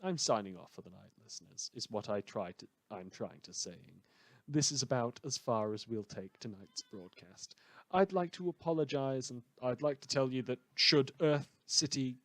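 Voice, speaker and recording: A man's voice: mid-range voice, expressive, moderate pace, a bit bright, dry recording. A man playing a wry, rambling late-night rural radio host in an audio drama, theatrical and sarcastic. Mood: weary, wry.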